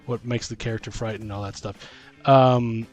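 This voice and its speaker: Male podcast host, mid-pitched, casual tabletop gaming chat, rambling delivery, light music bed. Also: steady tone, moderate pace, a little mellow. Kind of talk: casual discussion of tabletop role-playing games.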